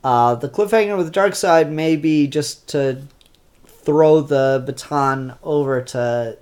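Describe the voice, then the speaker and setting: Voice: mid-range voice, takes it slowly, a little mellow, clean tone. A comic book reviewer with a stuffed-up, congested voice, talking through new issues in an offhand way with lots of ums and restarts. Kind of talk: casual spoken comic review.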